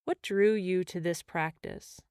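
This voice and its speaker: A woman leading a guided meditation as a mindfulness teacher, speaking gently and in measured phrases with pauses. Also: lowish voice, clear, resonant tone, dry recording, clean studio sound.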